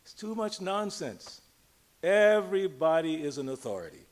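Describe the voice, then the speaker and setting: A man's voice: fairly high pitch, sing-song, moderate pace. An older man giving an informal temple sermon, conversational yet emphatic, putting questions to his listeners and drawing out key words. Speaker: religious teacher giving a temple discourse. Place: speaking live to a gathering in a temple.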